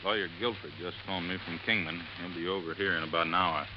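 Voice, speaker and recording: An actor playing a friendly, eager helper in a 1950s radio drama, folksy in manner. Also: male voice, low voice, fairly even intonation, quick pace, dull audio, lightly acted. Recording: noisy old radio broadcast.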